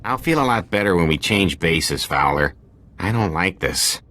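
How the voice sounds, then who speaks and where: A man's voice: sits low, animated, moderate pace, bright, forceful tone. A man playing an uneasy, cautious accountant sidekick in a video game, sounding worried and hesitant. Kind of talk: video game dialogue acting.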